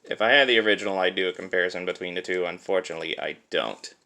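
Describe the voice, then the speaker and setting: A man's voice: low voice, very fast, gravelly, bright, forceful tone. A man giving a casual hands-on product review in an informal, conversational way, thinking aloud as he demonstrates. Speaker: toy reviewer and host.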